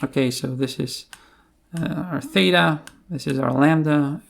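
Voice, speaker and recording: Man, slow pace, gravelly vocal fry, halting delivery, unscripted programming screencast. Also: fairly high pitch. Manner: hesitant, halting explanations with pauses. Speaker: tutorial presenter. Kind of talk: unscripted screencast coding tutorial.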